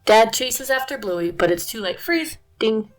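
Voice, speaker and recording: A woman reading a children's picture book aloud, animated and expressive, her voice a little tired. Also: mid-range voice, moderate pace, soft background music. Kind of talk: read-aloud narration.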